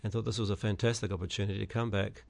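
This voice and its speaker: Middle-aged New Zealand candidate, gravelly, low voice, stop-start answers full of ums. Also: male voice, steady tone, darkish tone. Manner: halting, many fillers and restarts. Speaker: election candidate guest. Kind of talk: radio interview answers.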